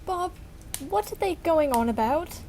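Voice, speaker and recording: A teenage girl playing the brisk, bossy mother of a poor family in a school stage play. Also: very high-pitched, animated, dark tone, slight background noise, heavily acted. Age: teens.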